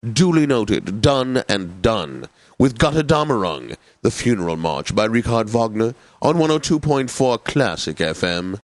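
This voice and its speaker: An actor playing a pompous, drily witty classical radio DJ in a video game, with formal, over-polite, theatrical announcing. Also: male voice, lowish voice, very animated, measured pace, no room sound. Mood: stately, obliging.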